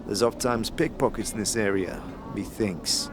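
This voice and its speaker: A man's voice: lowish voice, moderate pace, noisy background, natural voice. A man playing a flamboyant, sly street tout in an audio drama, with a theatrical, slangy, chuckling manner. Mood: sly, breezy. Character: flamboyant, sly sandy-skinned street tout offering his services. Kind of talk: audio drama character acting.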